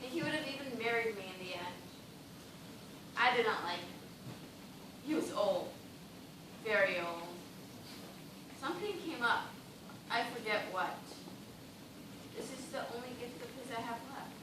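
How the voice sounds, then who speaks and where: A woman's voice: a little high, animated, moderate pace, pauses often, lightly acted. A woman acting a vain, desperate dancer dying of thirst in an amateur stage play, with melodramatic, pleading, formal diction. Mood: wistful, dreamy. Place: indoor theatre stage, recorded from the audience distance. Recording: echoey noisy hall from far off.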